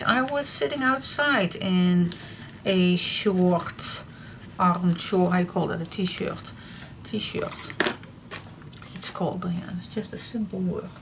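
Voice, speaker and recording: A woman hosting a papercraft tutorial, chatting casually while she works, her voice a little froggy and hoarse. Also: fairly low pitch, slight background noise. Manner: chatty, slow and relaxed, talks to herself while she works. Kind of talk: casual crafting tutorial talk.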